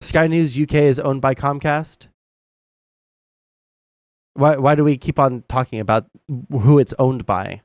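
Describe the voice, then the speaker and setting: A man's voice: medium pitch, a little slow, long pauses, a little mellow, no echo, clean studio sound. A young man from Southern Arkansas with a Southern accent, hosting a live stream and giving blunt, rambling commentary full of fillers and swearing. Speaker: streamer host. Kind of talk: casual live-stream commentary reading chat.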